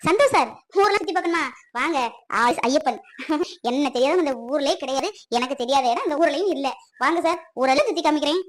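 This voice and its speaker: A woman's voice: high voice, animated, a little fast, slight background noise. A woman voicing a boastful, chatty male local tour guide who brags about knowing every place in town. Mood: boastful, eager. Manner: boastful, eager salesman patter.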